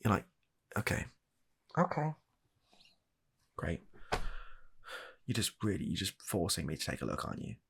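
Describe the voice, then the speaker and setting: Gravelly, low-voiced British man, deadpan and exasperated. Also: moderate pace, long pauses. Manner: exasperated, hesitant, awkwardly deadpan. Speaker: comedy ASMR performer playing a doctor. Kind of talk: comedic medical roleplay ASMR.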